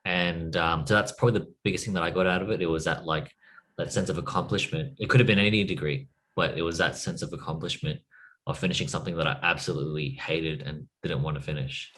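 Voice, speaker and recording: A man in his thirties from Australia, a business coach giving relaxed interview answers with lots of "like" and "you know". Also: low voice, quick pace. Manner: relaxed, uses filler words.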